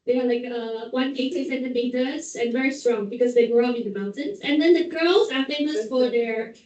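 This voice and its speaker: A woman's voice: on the high side, fairly quick, darkish tone. A middle-aged woman from Taiwan with a Taiwanese accent, speaking simple, non-native English as a guest speaker in a classroom. She talks in a chatty, joking way. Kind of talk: casual classroom talk. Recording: echoey room, distant mic, dull sound.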